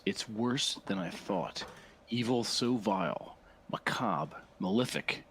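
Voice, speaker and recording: A man's voice: lowish voice, lively intonation, slow pace, fairly bright tone, a little hiss or hum. A man playing a melodramatic, righteous French sidekick who speaks in grandiose rants in a comedic audio drama. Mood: melodramatic outrage. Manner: grandiose, alliterative ranting.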